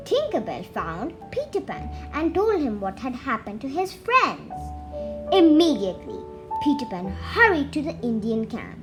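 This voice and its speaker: A storyteller reading a children's bedtime tale aloud, deliberately and with pauses between short phrases. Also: high-pitched.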